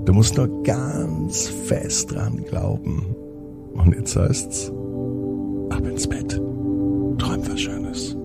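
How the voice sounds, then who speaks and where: A man with a husky, gravelly voice gently narrating a children's bedtime story in a soothing manner. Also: on the low side, lively intonation, drawn-out words, long pauses, loud music behind the voice.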